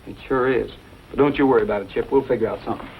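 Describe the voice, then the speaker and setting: An actor playing a kindly, steady young cowboy hero in a 1940s western drama, on a dull, noisy recording. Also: male voice, medium pitch, rapid-fire, lightly acted. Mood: reassuring. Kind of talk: 1940s film and radio western acting. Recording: dull lo-fi old recording, background noise.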